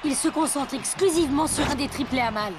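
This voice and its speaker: A female cartoon match commentator, played by a woman, high in pitch. She reports the game with crisp announcer delivery over clear background music. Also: fairly quick, natural voice, rough recording. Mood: keen.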